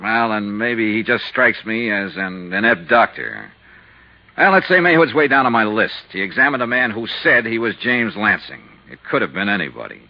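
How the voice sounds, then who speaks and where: An actor playing a hard-boiled, persistent insurance investigator in a 1950s radio drama, brisk, dry and insistent. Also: male voice, on the low side, big pitch swings. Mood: businesslike. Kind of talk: 1950s radio drama acting and first-person narration. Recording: noisy, lo-fi 1950s radio recording.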